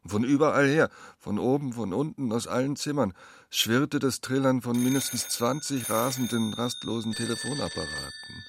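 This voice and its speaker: A man's voice: medium pitch, lively intonation, normal speed, gravelly, noisy background. A man delivering scripted dramatic narration in a radio play.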